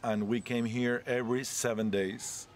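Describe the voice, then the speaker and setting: A man's voice: medium pitch, fairly even intonation, unhurried, slight background noise. A man in his forties from Peru, talking casually with a rambling manner in a street interview in a leafy courtyard outdoors. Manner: chatty, rambling, non-native English. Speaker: interviewee, cruise ship photographer.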